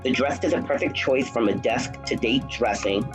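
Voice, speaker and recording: A man presenting student outfits, describing each garment in a smooth, salesy way. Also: medium pitch, moderate pace. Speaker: fashion presenter. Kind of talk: runway-style commentary on outfits. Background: background music.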